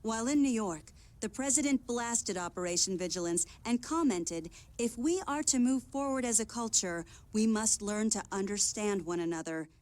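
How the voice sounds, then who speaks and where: A woman playing a composed television news anchor, reading a scripted bulletin in a formal, measured manner. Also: medium pitch, darkish tone, natural voice.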